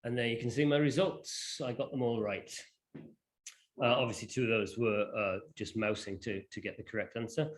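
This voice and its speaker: A man presenting an informal live demonstration of educational software, with a halting, hesitant delivery. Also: fairly low pitch.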